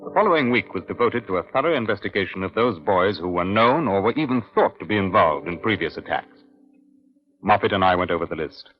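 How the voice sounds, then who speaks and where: An actor playing a senior British police inspector in an old-time radio crime drama, measured and authoritative with a dry, wry edge. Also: male voice, deep voice, expressive. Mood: grave, measured. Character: male senior Scotland Yard police inspector, brisk and wry. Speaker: narrator and lead detective. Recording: dull, lo-fi vintage radio recording.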